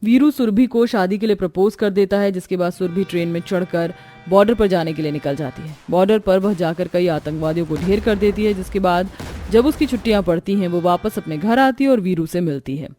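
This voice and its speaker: A woman's voice: on the low side, fairly quick, warm tone, soft background music. A woman reading a scripted moral story as its narrator.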